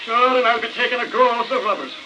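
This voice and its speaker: A man voicing an excitable, crude and cheerful Irish husband in folksy exclamations for an old comedy record. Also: very high-pitched, quick pace, highs cut off, voice pushed up. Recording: noisy crackling 78 rpm record, distant.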